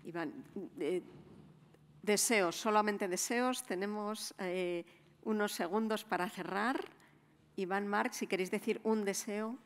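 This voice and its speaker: A woman moderating a panel, giving slow, brief prompts. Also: medium pitch. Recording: distant mic in a reverberant hall.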